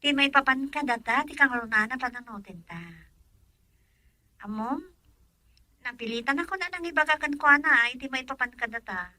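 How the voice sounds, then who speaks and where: High-voiced lovestruck daughter. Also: female voice, moderate pace, long pauses, pushed and bright, no echo. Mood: tender, troubled. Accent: Ilocano. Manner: pleading, emotional, melodramatic. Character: young woman in love, defiant daughter. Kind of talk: radio drama acting in Ilocano.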